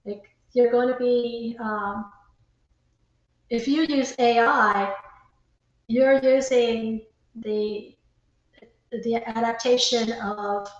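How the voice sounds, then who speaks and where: A woman guest in a casual conversation, explaining her thoughts haltingly, with pauses between phrases. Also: a little high, muffled recording. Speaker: interview guest, writer. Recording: very echoey, roomy sound.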